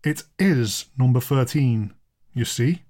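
Man playing a nervous, earnest gentleman. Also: medium pitch, animated, takes it slowly. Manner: eager, tense questioning.

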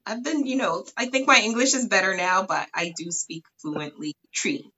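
A woman's voice: mid-range voice, moderate pace, pushed and bright, a little hiss or hum. A woman from Ghana, a podcast guest, giving short upbeat answers. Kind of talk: casual interview answers. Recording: dull lo-fi sound.

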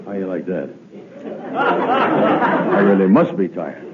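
An actor playing a slow, gullible, weary man in an old radio comedy, with a drawling, ungrammatical manner. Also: low voice, huge pitch swings, a loud crowd, full character voice. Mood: weary, puzzled. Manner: drawling, ungrammatical, muttering to himself. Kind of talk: 1950s radio comedy acting. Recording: narrow phone-like old radio recording, loud noise.

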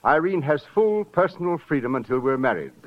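An actor playing a genteel, possessive older fiancé in a 1950s radio drama, pompous and over-polite. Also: male voice, a little high, very animated, fairly quick. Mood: wounded, possessive. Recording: dull vintage radio recording.

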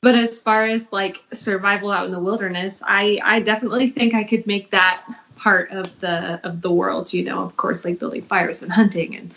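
A woman's voice: mid-range voice, steady tone, normal speed, creaky, fairly bright tone. A woman talking casually as part of a group panel. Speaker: voice actor on a panel. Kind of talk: casual chat. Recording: dull lo-fi audio.

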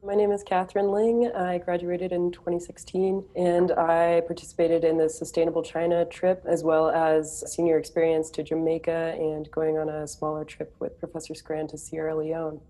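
Low, monotone young woman. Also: normal speed, hardly any pauses. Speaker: alumna guest. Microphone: video call.

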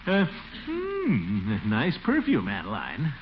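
An actor playing a pompous, easily flustered middle-aged bachelor with an American accent and a blustering, chuckling manner in a 1940s radio comedy. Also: male voice, mid-range voice, wildly swooping pitch, slow. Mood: bashful, flustered. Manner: blustering, chuckling, theatrically swooping. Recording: muffled, noisy 1940s radio recording.

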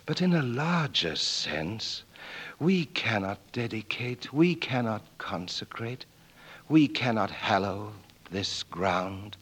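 English valet, deliberate pacing, earnest, deferential, solemn, old radio drama. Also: male voice, fairly low pitch, animated, a bit bright, husky, heavily acted. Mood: solemn recitation. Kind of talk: 1940s radio acting.

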